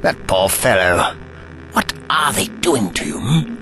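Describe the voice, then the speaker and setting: A man's voice: fairly low pitch, sing-song, a little slow, poor audio. A man playing a genial, self-assured old time-traveller in an audio drama, pompous and avuncular, given to muttering. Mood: concerned.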